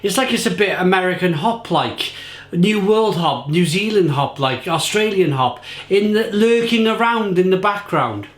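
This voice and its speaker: A man talks a beer through to camera with rambling enthusiasm, circling back and repeating himself. His voice sits a little high and has gone slightly croaky. The room around him gives a noticeable echo. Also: expressive, moderate pace. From England. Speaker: beer reviewer. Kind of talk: casual tasting review to camera.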